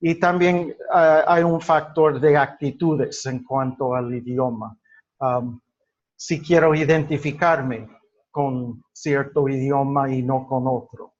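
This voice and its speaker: A man lecturing a class at a very slow, deliberate pace, pausing often with fillers and restarting his words. His first language is English. The recording sounds dull and lo-fi. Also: medium pitch, fairly even intonation. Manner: halting, many fillers and restarts. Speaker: teacher.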